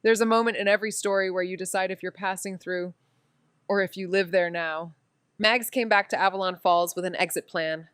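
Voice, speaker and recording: A woman's voice: mid-range voice, smooth, no room sound, lightly acted. A woman playing a wry, blunt amateur sleuth in a noir audio drama, casual and sardonic in manner. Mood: reflective narration.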